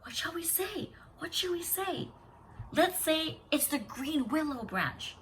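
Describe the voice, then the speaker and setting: Woman acting proud, high-pitched boastful young sister. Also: expressive, takes it slowly, fairly bright tone, slight background noise, heavily acted. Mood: scheming whisper. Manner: boastful, stammering and whispering when cornered.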